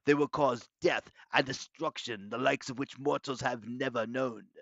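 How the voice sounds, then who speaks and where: A man's voice: mid-range voice, fairly even intonation, gravelly, a bit bright, lo-fi sound, a little hiss or hum. A man voicing a menacing monster in disguise, pompous and condescending, in a storybook reading. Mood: gloating menace. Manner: pompous, condescending, deliberate villain delivery. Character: menacing, gloating male monster disguised as a schoolmaster.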